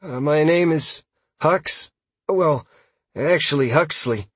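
A man's voice: a little high, lively intonation, normal speed, slightly rough, dry recording, pitched above the performer's own voice. A man voicing a nervous, eager male elf scout with a hesitant, apologetic manner, as in an audiobook. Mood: nervous, flustered. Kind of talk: audiobook character dialogue.

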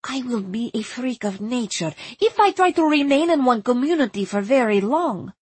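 A woman's voice: fairly high pitch, expressive, moderate pace, darkish tone, breathy edge, no echo. A woman voicing a weary, resigned yet stern woman with formal, grave speech in an audiobook. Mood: demanding.

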